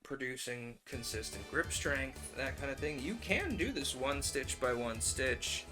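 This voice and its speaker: A man's voice: mid-range voice, lively intonation, a little slow. A man hosting a craft livestream, chatting casually and wryly, with playful acted-out asides. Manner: playful, wry, acts out asides. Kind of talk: casual chat while demonstrating hand stitching. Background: music.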